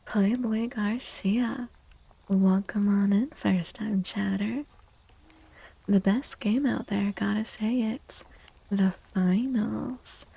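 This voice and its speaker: A woman hosts an ASMR livestream in a very soft, breathy voice that often slips toward a whisper. Her delivery is slow and gentle, with a creaky edge and a mellow tone. She sounds close to the microphone as she chats. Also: mid-range voice, steady tone, low-quality recording. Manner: gentle, unhurried, greeting chatters. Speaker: livestream host, VTuber. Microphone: close microphone, dry.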